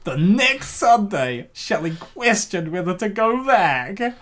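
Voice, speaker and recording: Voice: mid-range voice, very animated, takes it slowly, fairly bright tone. A host narrating an amateur comic dub, mixing storytelling with jokey, rambling casual commentary.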